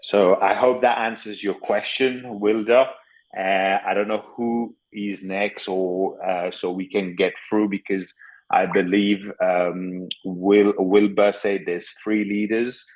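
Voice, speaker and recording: Male business promoter on a group call, lowish voice, very measured pace, short phrases. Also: flat delivery, darkish tone, dull audio. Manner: speaks in short phrases for an interpreter. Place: online group video call.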